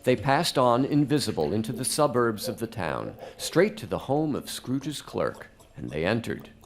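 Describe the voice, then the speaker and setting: A man's voice: mid-range voice, very animated, moderate pace. A man narrating a live radio drama as a scripted, dramatic storyteller. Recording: faint music behind.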